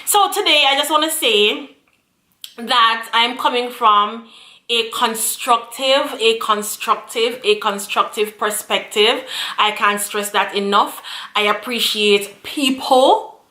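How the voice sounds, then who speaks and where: A woman's voice: a little high, takes it slowly, pushed and bright, reverberant. A woman in her thirties hosting a casual vlog chat, chatty and repeating her phrases. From Jamaica. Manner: chatty, repeats phrases, frequent laughs and fillers. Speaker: YouTube channel host.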